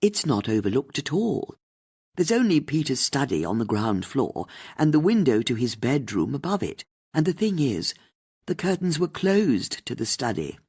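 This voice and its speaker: An older, upper-class English lady as acted out by an audiobook narrator, haughty and easily indignant. Her delivery is very lively and swoops up and down. She gives out brazen opinions with open disdain. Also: medium pitch, moderate pace, breathy edge. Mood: defensive, candid. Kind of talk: audiobook character dialogue.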